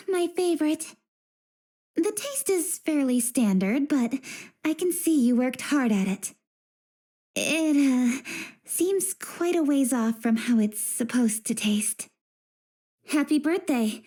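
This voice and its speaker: An actress playing a principled, impatient but sincere young woman official in an English game dub, speaking in a crisp, earnest, assertive way. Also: sits high, expressive, slow, pauses often, a little mellow. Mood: reserved approval.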